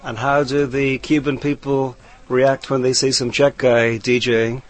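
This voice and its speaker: A man's voice: medium pitch, flat delivery, measured pace, soft background music. A man interviewing a guest with short, direct questions.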